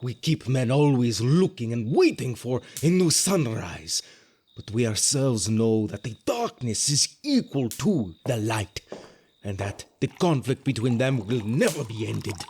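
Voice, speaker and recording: A proud, skeptical wise man of the ancient East in an audio drama. He declaims and argues, his pitch rising and falling dramatically as he guards his order. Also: very animated, unhurried, darkish tone, husky, full character voice. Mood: skeptical, cautioning. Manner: declamatory, argumentative.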